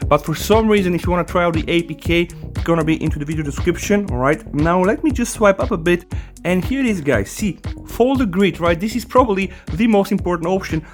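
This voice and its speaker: Quick, chatty male tech host with a Bulgarian accent, voice a bit high, faint music under him. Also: expressive. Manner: chatty, keeps saying "guys" and "right?"; talks fast. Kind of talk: casual tech review.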